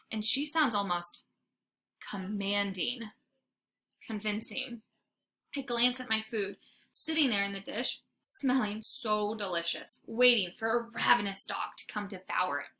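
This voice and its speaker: Bright-voiced woman reading a children's story. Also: a little high. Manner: dramatic, animated storytelling. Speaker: teacher reading aloud to students. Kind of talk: expressive children's book read-aloud. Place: informal homemade recording. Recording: faint background noise, homemade recording.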